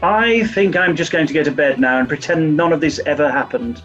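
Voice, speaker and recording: A man playing a grumpy, dim-witted upper-class British gentleman detective, reluctant and flustered, in a 1940s radio comedy. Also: on the high side, a little fast, music in the background, natural voice. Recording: muffled, distant microphone.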